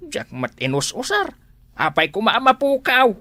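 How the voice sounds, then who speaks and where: A woman plays an exclamatory Ilocano villager in a homespun comedy drama. She frets and complains with lively, swooping intonation, recorded close and dry. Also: on the high side, unhurried, no room sound, full character voice. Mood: fretting.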